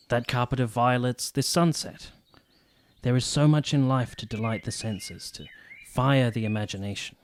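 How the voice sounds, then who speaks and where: An actor playing an earnest, idealistic and courteous army captain in a period radio drama, measured and formal. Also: male voice, mid-range voice, slight background noise, close to an everyday voice.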